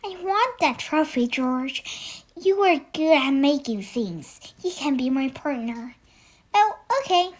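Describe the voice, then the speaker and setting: An excitable little owl pupil from a children's storybook, acted out by a young girl. The voice is high and swoops about, friendly and eager, exclaiming often but never rushing. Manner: exclaiming, animated.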